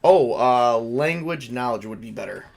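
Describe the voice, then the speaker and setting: A man's voice: mid-range voice, lively intonation, moderate pace, gravelly, a little mellow. Guy game mastering a tabletop rpg, normal mid voice, casual narrating and rules talk.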